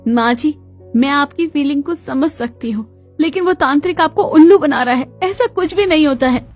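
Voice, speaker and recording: A woman's voice: high-pitched, moderate pace, soft background music, close to an everyday voice. A woman voicing a sarcastic, defiant young daughter-in-law in a family cartoon, dramatic and mock-sweet. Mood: pleading.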